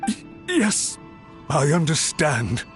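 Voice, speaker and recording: An actor playing a cowed, deferential servant in a video game, halting and submissive in his formal speech. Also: on the high side, big pitch swings. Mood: nervous, chastened. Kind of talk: scripted video game dialogue. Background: game music.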